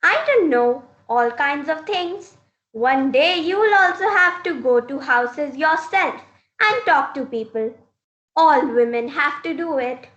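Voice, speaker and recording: A woman's voice: sits high, lively intonation, slow pace, a bit bright, highs cut off, exaggerated voice. A woman playing a strict mother who instructs her daughter firmly, in a classroom reader's theater play. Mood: explaining. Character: strict, advising mother of a talkative young girl. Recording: roomy, echoing room sound.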